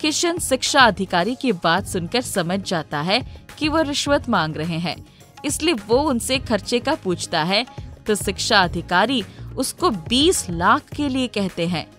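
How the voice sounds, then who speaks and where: A woman narrates a scripted moral tale in the manner of a storybook reader. Her voice sits at a medium pitch, with music playing underneath. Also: big pitch swings, a little slow, a bit bright. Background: background music.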